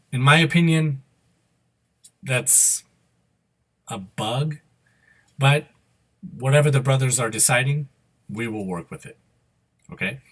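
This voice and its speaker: A man giving an unscripted, step-by-step software tutorial as an instructor, explaining each move and often checking that the listener follows. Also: mid-range voice, husky.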